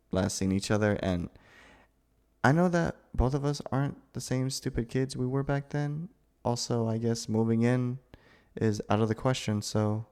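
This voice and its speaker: A man acting a nervous, awkward, lovestruck young man in a gentle roleplay, with hesitant, stammering delivery. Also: mid-range voice, clean tone, close to an everyday voice. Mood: resigned, hopeful. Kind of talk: ASMR boyfriend roleplay acting.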